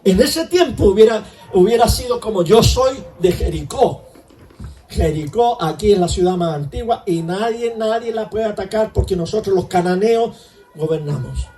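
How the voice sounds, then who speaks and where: A man's voice: sits high, lively intonation, moderate pace, mellow, distant mic. A man preaching a conversational sermon in church, full of rhetorical questions. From Chile. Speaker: pastor.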